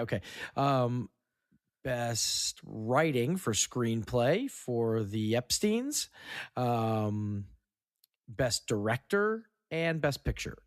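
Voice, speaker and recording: A man's voice: medium pitch, no room sound, studio quality. A man hosting a casual, joking film discussion and reading out trivia. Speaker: podcast host reading trivia.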